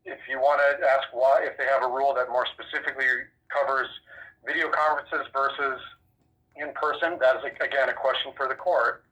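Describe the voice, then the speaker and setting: American guy official on a phone call, sharp bright voice, firm and formal, keeps deflecting. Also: mid-range voice, steady tone, fairly quick. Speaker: sheriff's office representative. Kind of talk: formal answers to a press query. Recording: voice over a phone line.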